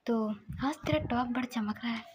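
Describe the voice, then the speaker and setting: A woman voicing a hushed, teasing grandmother. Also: on the high side, steady tone, normal speed, husky. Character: elderly grandmother, teasing and sharp-eyed.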